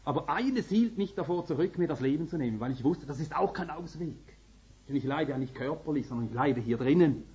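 A preacher from Finland with a Swedish-speaking Finnish accent and a very husky, breathy voice pitched a little high for a man. He preaches earnestly and emphatically, pushing up to urgent peaks. The recording sounds slightly dull and lo-fi. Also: animated, a little fast, dark tone. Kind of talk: sermon.

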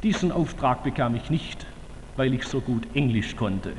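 A man preaching a sermon to a large audience, slow and emphatic with fervent declamation and wry humor. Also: mid-range voice, expressive, a little mellow, a little hiss or hum. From Württemberg, Germany. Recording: reverberant hall, faint ambience.

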